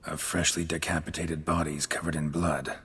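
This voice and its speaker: An actor playing a gruff, stoic monster-hunting mercenary in a fantasy game, deadpan and clipped, with a deep gravelly voice. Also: male voice, normal speed, heavily acted. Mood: measured reading. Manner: terse, clipped, deadpan delivery. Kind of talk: video game cutscene acting.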